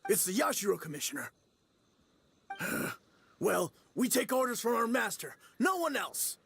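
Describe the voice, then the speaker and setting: A gruff henchman guard, curt and loyal only to his master. His voice is strained high and gravelly with rough fry. A faint hiss sits under the game audio. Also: sing-song, measured pace, fairly bright tone, exaggerated voice. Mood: defiant. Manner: curt and defiant. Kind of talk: video game voice acting.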